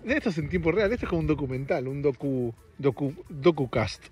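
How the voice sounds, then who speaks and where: Casting: Argentine male podcast host, middle-aged, fairly high slightly raspy voice, loose joking monologue, faint music. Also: brisk, a little mellow, highs cut off. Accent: Argentine Spanish. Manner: self-deprecating, joking. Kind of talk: casual rambling solo podcast monologue. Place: outdoors on a street.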